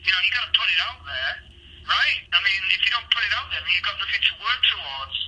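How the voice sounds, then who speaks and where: A man with a Mancunian accent from Manchester, an actor answering interview questions in a halting way, full of ums and restarts. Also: high-pitched, animated, pushed and bright, breathy edge, rough recording. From Manchester, England. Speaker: actor guest.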